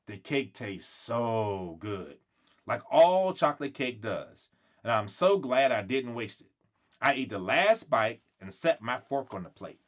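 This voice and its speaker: A man's voice: mid-range voice, very animated, unhurried. A man reading a children's book aloud expressively, acting out the dialogue and stretching words for emphasis. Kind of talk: expressive read-aloud storytelling.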